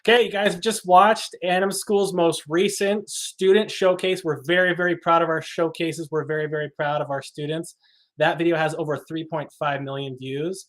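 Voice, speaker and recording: A man hosting a livestream, introducing guests in an energetic, enthusiastic way. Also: on the high side, normal speed. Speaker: host.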